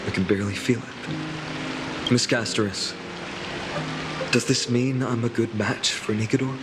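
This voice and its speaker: Male actor as young warrior lord, restless, reflective, earnest, game cutscene, loud musical backing. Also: medium pitch, steady tone, a little slow, close to an everyday voice. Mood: uncertain. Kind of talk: video game voice acting. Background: loud background music.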